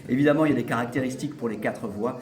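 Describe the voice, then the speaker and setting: A man, an opera singer acting in a comedy, speaking in an interview with halting repetitions. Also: mid-range voice, darkish tone, reverberant. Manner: halting, repeats words, good-humored. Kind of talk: festival interview, casual chat.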